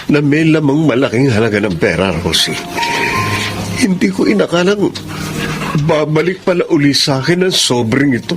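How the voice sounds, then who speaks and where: A man's voice: on the high side, animated, normal speed, lightly acted. Man acting earnest humble father, music behind. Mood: awed. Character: Carlos, humble poor father, emotional and grateful. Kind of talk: Tagalog radio drama acting with narration.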